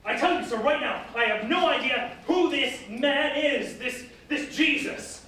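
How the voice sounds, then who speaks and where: A man playing an ambitious, excitable fisherman disciple on stage, with an emphatic delivery that can turn halting. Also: very high voice. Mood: panicked denial. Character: Simon, ambitious boastful fisherman turned flustered fearful disciple. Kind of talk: staged reading, theatrical stage acting. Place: live staged reading in a reverberant room. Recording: echoey hall from far off, faint noise.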